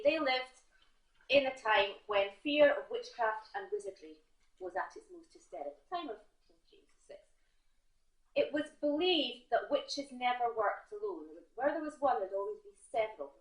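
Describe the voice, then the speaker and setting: A woman with a Scottish accent and a high-pitched voice tells a comic tall tale on stage. She talks straight to the audience, pausing and correcting herself. The theatre gives her voice a roomy echo. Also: fairly bright tone. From Scotland. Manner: halting, punning, addresses the audience directly. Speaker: competition storyteller. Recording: roomy, echoing theatre recording.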